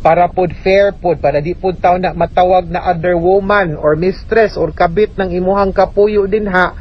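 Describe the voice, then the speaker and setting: A woman's voice: fairly low pitch, steady tone, normal speed, slightly rough. A woman with a Cebuano Filipino accent giving spoken legal advice on the radio, explaining emphatically and checking with tag questions. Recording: dull, noisy radio recording.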